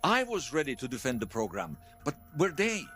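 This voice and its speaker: An actor playing an earnest, fervent veteran loyalist giving testimony in a fiction podcast, conversational and emphatic. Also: male voice, mid-range voice, wildly swooping pitch, normal speed, fairly bright tone, faint music behind. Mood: tense recollection. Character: earnest male veteran loyalist recounting an uprising, fervent believer. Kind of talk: fiction podcast monologue told as interview testimony.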